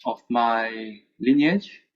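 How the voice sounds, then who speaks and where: A man introducing himself earnestly and slowly as a ceremony participant. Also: medium pitch, lo-fi sound.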